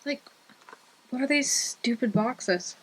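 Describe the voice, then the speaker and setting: Playful woman with a higher-pitched voice. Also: slow pace, breathy edge, rough recording. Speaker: video co-host, first-time comic reader. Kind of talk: casual chat.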